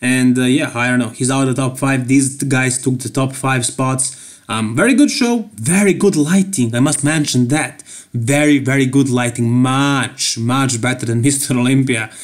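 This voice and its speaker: A man's voice: mid-range voice, normal speed. Joking Serbian man on bodybuilding commentary. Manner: chatty, joking, laughs often. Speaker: bodybuilding YouTuber. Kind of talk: casual voice-over commentary.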